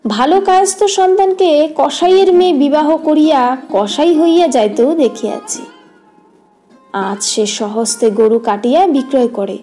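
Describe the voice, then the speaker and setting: A woman's voice: high voice, dark tone. A woman reading a literary story as an audiobook narrator, in a scripted storytelling style. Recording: guitar music behind the voice. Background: plucked guitar music.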